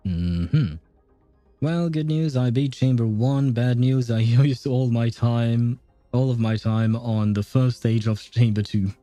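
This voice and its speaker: A man's voice: mid-range voice, slow, warm tone, no room sound, faint music behind. A man hosting a game livestream, giving casual, rambling commentary as he thinks aloud. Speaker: livestream host.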